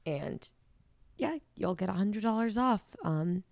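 A woman hosting a podcast interview, hoarse, chatty and upbeat with frequent filler words. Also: mid-range voice, animated, unhurried, a little mellow. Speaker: podcast host.

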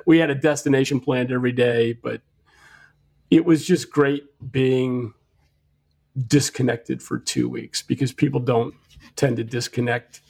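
A man's voice: medium pitch, creaky, darkish tone. A man co-hosting a casual podcast from home, talking in a rambling way with frequent restarts and fillers. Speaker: podcast co-host.

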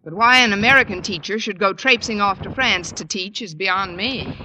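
A woman playing a wisecracking high school English teacher with dry, sardonic comic timing in an old radio sitcom. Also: moderate pace, sharp tone. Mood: dry, brisk. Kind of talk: 1940s-50s radio sitcom acting. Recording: noisy old-time radio recording.

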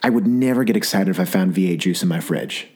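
A man's voice: low-pitched, fast talker, breathy edge. A young adult man hosting a podcast with a scratchy, sore-throat voice, talking through a rambling comic monologue with lots of restarts. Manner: rambling, animated storytelling with repeated restarts. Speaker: podcast host. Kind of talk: comedic solo monologue.

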